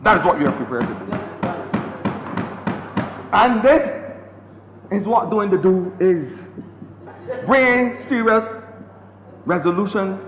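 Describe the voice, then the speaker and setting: Barbadian man, MP, fiery repetitive floor speech, raised voice, booming chamber reverb. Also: animated, slow pace, long pauses, a bit bright. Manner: impassioned, repetitive oratory. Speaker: member of parliament. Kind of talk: parliamentary debate speech. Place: parliament chamber. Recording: echoey chamber with long reverb and faint hiss.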